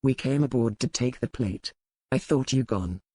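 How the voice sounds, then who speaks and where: An actor playing a dangerous, brooding pirate earl in a romance audiobook, calm and measured, with formal old-fashioned phrasing. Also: male voice, mid-range voice, breathy edge, dry recording. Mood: calm, matter-of-fact. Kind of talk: dramatized romance audiobook dialogue.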